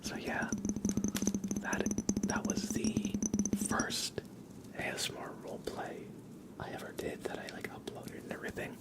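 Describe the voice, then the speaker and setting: An ASMR livestream host who whispers nearly everything, soft and unhurried. The talk rambles along casually at a very slow pace, like an intimate chat with viewers.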